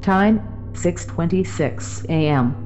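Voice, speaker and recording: A woman's voice: fairly low pitch, expressive, slow pace, darkish tone, lo-fi sound, faint music behind. A woman playing an impersonal announcer in an audio drama, stating things in a clipped, formal way. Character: impersonal female log announcer stating place and time.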